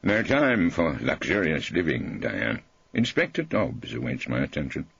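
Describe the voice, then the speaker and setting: A man's voice: a bass voice, wildly swooping pitch, a little slow, gravelly, a bit bright, slightly rough, lo-fi sound, exaggerated voice. A man playing an irreverent, witty old male barrister with a wry, florid, mock-courteous manner. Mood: breezy.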